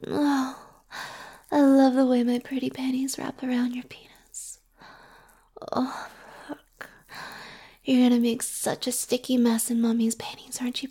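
A woman speaking in a soft, intimate whisper for an audio roleplay, slow and coaxing. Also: high voice, husky.

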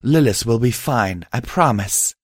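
A man voicing a gentle female alicorn pony, earnest, caring and pleading, in an audiobook-style fantasy story. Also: medium pitch, lively intonation, unhurried, breathy edge, no echo, clean studio sound.

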